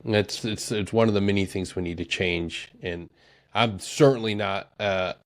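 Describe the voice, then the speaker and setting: A man's voice: on the low side, normal speed. A man hosting a podcast in casual conversation, talking bluntly with some swearing and getting heated on points he cares about. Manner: blunt, profane, animated when riled. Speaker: podcast host. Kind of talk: casual interview chat.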